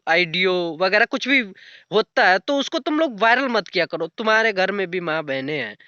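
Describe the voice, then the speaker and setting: A man's voice: high voice, lively intonation, normal speed, pushed and bright, clean tone, no echo, lo-fi sound. A young man giving an unscripted, casual commentary rant in a blunt, colloquial way with crude slang. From rural north India. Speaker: YouTube commentator.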